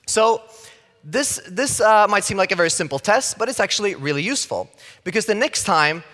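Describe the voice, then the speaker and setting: Lively Swedish man speaking on a stage. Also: sits high, a little fast. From Sweden. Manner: lively and playful, acts out quoted opinions. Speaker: conference speaker. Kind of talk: tech conference talk. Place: large conference stage. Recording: reverberant hall.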